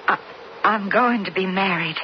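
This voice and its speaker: A woman's voice: medium pitch, normal speed, close to an everyday voice. A woman acting in a radio western as a young rancher's daughter, earnest, anxious and resigned. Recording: dull, very noisy old radio recording.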